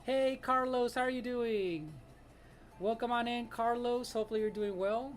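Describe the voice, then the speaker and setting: Late-thirties art streamer, relaxed chat with viewers, frequent laughs, mid-pitched voice. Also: normal speed, soft background music. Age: 30s. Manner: laughs often, greets chat viewers by name. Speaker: live-stream artist host. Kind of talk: casual live-stream chat while drawing.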